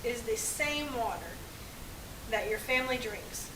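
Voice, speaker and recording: A woman presents to children in an emphatic, phrase-by-phrase manner. Her voice is fairly high, recorded at a distance in an echoey space with noticeable hiss. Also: expressive, a little slow. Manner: emphatic, addressing an audience in short phrases. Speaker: puppet show presenter. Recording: echoey, distant mic, clearly hissy.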